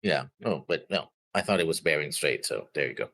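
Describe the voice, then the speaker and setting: A man's voice: a bass voice, expressive, brisk, pushed and bright. A man co-hosting a show, chatting casually about a fandom. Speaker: reaction show co-host. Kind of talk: casual fan discussion.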